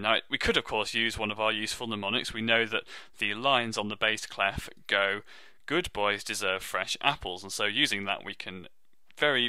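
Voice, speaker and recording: Low-voiced man with a bright, crisp tone. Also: no room sound. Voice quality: low, deep voice. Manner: friendly, self-deprecating, steady explaining while drawing. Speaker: music teacher. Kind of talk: online music theory lesson.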